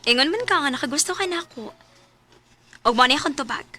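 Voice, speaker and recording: Bright high-voiced devoted young friend. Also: female voice, lively intonation, quick pace. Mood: loving. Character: devoted young woman, tender, earnest, steadfast friend. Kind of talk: radio drama acting. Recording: music behind the voice.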